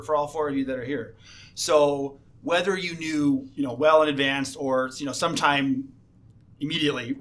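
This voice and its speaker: A man with a fairly high voice hosts a casual school radio chat. He talks with many fillers and false starts and keeps circling back to finish his thoughts. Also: a bit bright. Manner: halting, many fillers and restarts. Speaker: host, assistant principal.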